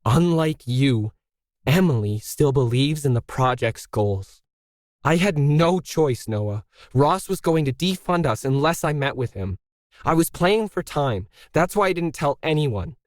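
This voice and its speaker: A man's voice: a little high, lively intonation, unhurried, no room sound, clean studio sound, pitched above the performer's own voice. A man voicing a middle-aged woman artist in a story, defiant and indignant, tightly holding back anger. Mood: controlled anger.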